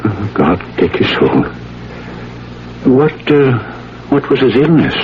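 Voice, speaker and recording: Village priest, stern but flustered, halting, lively intonation, muffled old radio with some hiss. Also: male voice, mid-range voice. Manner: hesitant, stammering, solemn. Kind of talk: 1970s radio drama acting.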